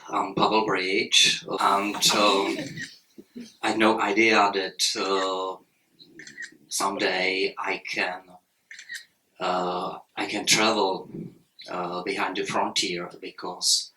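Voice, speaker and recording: A man in his fifties speaking at a festival panel in halting, plain English. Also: sits low, bright, forceful tone, distant mic. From Czechoslovakia. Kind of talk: public panel talk. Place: event hall. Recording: roomy hall from the mic, faint noise.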